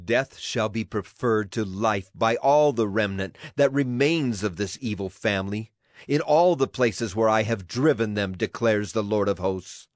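A man's voice: fairly low pitch, a little slow, dry recording, studio quality, natural voice. A man acting a solemn prophet who speaks for a grieving, wrathful God in a dramatized scripture reading, declamatory in delivery. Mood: stern, condemning. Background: music behind the voice.

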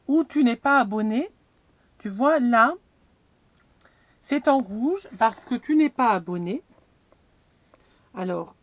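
A woman's voice: high voice, darkish tone, no echo, lo-fi sound. A middle-aged woman vlogging from inside her camper van, casually walking viewers through a screen step by step with a chatty, self-deprecating manner.

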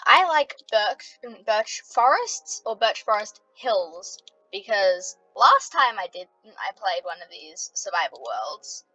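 A woman with an Australian accent, chatting casually as she plays a computer game, with lots of ums and sudden excited outbursts. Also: mid-range voice, slow pace, slightly rough, soft background music, low-quality recording. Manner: rambling, many ums, bursts of playful excitement. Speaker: gaming vlogger.

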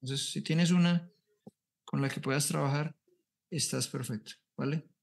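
Guy teaching online, upbeat, reassuring, straight to the point, bit high voice. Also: steady tone, moderate pace, vocal fry. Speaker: trading instructor. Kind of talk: online class Q&A.

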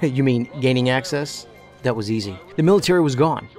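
An actor playing a quirky, literal-minded, matter-of-fact investigator in an audio drama. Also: male voice, medium pitch, lively intonation, brisk, darkish tone, soft background music, close to an everyday voice.

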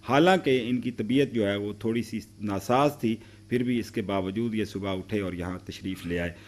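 A man's voice: on the low side, moderate pace. Effusive middle-aged male TV host. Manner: warm, effusive introductions. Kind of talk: TV talk show hosting. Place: TV studio set.

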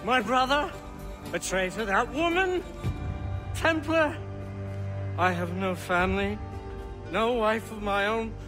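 An actor playing a distraught, self-pitying drunken assassin in a melodramatic video game cutscene. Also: male voice, sits high, slow pace, pauses often, over music. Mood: drunken despair. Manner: theatrical, melodramatic, swinging between whining and outbursts.

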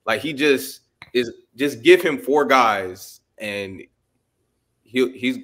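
A man's voice: mid-range voice, expressive, slow pace, a bit bright. A man in casual sports talk on a video call, hesitant and full of fillers and restarts. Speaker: podcast panelist.

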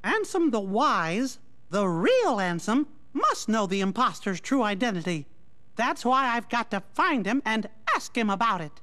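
A man voicing a small cartoon mouse king for a video game, folksy, gentle and earnest in manner. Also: high voice, sing-song, measured pace, full character voice. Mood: earnest, explaining. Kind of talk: video game cutscene acting.

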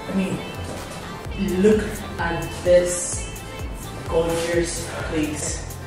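A woman's voice: on the low side, far from the mic. A young Nigerian woman giving an enthusiastic, exclamatory walkthrough of a house, recorded on a camera a few steps away. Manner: gushing, exclamatory, repeats praise. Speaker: vlogger giving a house tour. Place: empty furnished house, indoors, echoey rooms. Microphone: camera mic, filmed from a few steps away. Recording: roomy echo, off-mic, loud music bed.

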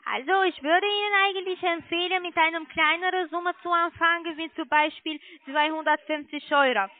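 A non-native German-speaking woman giving a hesitant sales pitch over the telephone as a call centre agent. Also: steady tone. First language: not German. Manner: hesitant, halting. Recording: muffled narrowband phone line.

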